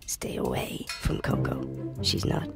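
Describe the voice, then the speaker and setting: A woman voicing a secretive male protector who speaks low and drops into hushed whispers. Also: a little slow, natural voice. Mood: warning. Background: loud background music.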